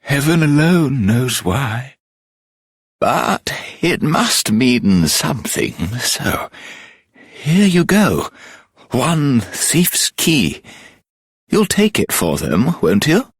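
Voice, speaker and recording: A man's voice: mid-range voice, sing-song, drawn-out words, slightly rough, dry recording, studio quality, exaggerated voice. An actor playing a dreamy, eccentric old man in a fantasy video game, drawling his words in a whimsical, theatrical way. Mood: knowing, expectant.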